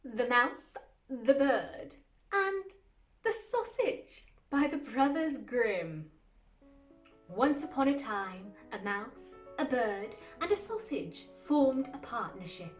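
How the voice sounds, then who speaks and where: High-voiced woman storyteller in a roomy space. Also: sing-song, slow. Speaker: storyteller and host of a fairy tale series. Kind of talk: scripted storybook narration. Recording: roomy, distant mic with music underneath. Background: classical music.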